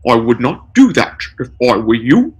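Comic-story corporate lawyer, acted by a male reader: pompous, deliberate, pedantic, lively intonation. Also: mid-range voice, husky. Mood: smugly formal. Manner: formal, deliberate legalese.

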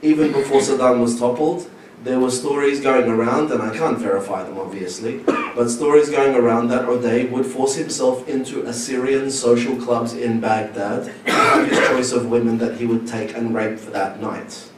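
A man's voice: medium pitch, steady tone, moderate pace, few pauses. Earnest man in an echoing lecture room. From Syria. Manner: earnest, emphatic. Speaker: audience member asking a question. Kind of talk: question from the floor at a conference. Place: large lecture room with audience. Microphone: handheld mic. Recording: roomy echo, far from the camera, background noise.

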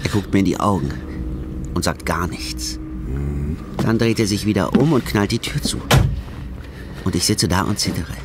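A man's voice: low-pitched, moderate pace, pauses often, heavy background noise, close to an everyday voice. An actor playing an anxious young man on the run in a modern radio drama, colloquial and nervous. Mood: tense, brooding. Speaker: actor, narrator and lead.

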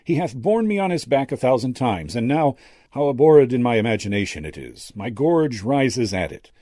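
A man voicing a kindly old medium and former actor, gentle, courtly and theatrical, in an audiobook story. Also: medium pitch, big pitch swings, moderate pace, dry recording, studio quality, voice pushed up. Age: older. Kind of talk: character dialogue in an audiobook story.

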